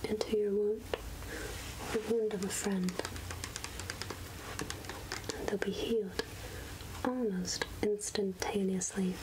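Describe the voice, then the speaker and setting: A woman playing a secretive woodland witch who sells potions, whispering softly and intimately in an ASMR roleplay. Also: mid-range voice, steady tone, noisy background, natural voice. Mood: persuasive, confiding. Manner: slow, deliberate, intimate, addressing the listener as darling. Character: Agatha, secretive woodland witch selling potions, coaxing and darkly kind.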